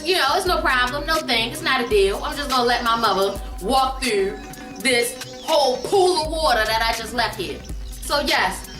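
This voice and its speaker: A woman's voice: high-pitched, expressive, moderate pace. A woman telling a casual comedic family story in an exaggerated way, repeating phrases for emphasis. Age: adult. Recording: roomy, distant mic, music behind. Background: background music.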